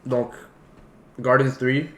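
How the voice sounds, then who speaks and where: A man's voice: mid-range voice, little pitch movement, extremely slow. A man co-hosting a casual film talk show, speaking slangy peppered words. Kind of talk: casual chat about films. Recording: roomy echo, faint background noise.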